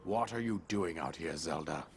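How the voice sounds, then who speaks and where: A man's voice: a bass voice, moderate pace, gravelly, background noise. A man playing a stern, disapproving king and father in a video game, speaking with formal, scolding authority. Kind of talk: dramatic video game cutscene acting. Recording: music behind the voice.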